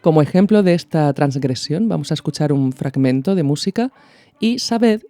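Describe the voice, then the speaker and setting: Man, podcast host, fairly high voice, soft dark tone, scripted educational narration, measured phrasing. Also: lively intonation.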